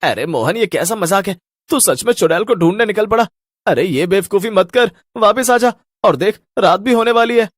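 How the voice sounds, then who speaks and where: A man's voice: high-pitched, lively intonation, moderate pace, dry recording, studio quality, pitched above the performer's own voice. A man voicing a superstitious, nervous fellow who protests with agitation in a Hindi cartoon story. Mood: worried.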